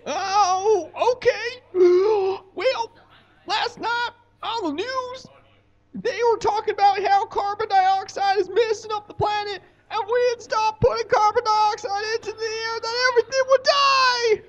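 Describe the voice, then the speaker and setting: A man voicing an anxious, dim, whiny puppet boy in squeaky, halting falsetto in a live comedy puppet show. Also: steady tone, a bit bright, lo-fi sound. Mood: worried, explaining. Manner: slow, drawn-out, halting delivery.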